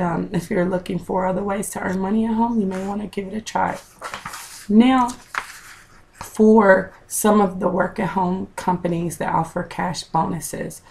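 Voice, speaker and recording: Raspy, gravelly woman vlogging. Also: lowish voice, darkish tone. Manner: chatty, direct to viewers, with fillers. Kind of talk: casual vlog advice. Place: small room at home, indoors.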